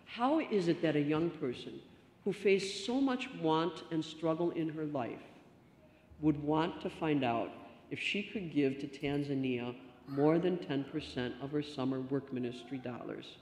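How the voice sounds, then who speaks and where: A middle-aged woman pastor giving a stewardship talk from the lectern, telling a story with lively gestures. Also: low voice, unhurried, a little hiss or hum. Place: church sanctuary. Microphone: stand mic at lectern. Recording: echoey church hall reverb.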